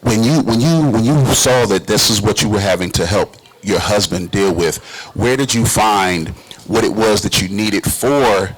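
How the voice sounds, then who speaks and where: A man's voice: lowish voice, lively intonation, normal speed, hardly any pauses, slightly rough, poor audio. A man who hosts and acts, talking casually in an emphatic, slangy way on a talk show. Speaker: talk show host and stage actor.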